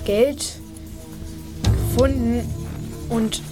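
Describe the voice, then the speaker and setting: Slow-spoken youngster over music. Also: female voice, on the high side, steady tone. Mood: deliberate. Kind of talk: German children's radio play acting. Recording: music playing behind the voice.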